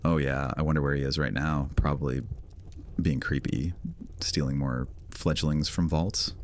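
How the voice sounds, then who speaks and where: A man as a very deep-voiced sardonic vampire. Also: steady tone, moderate pace, slight background noise. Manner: sarcastic, casual, mocking. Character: cocky male vampire loner, sardonic and teasing. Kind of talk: fantasy audio drama acting.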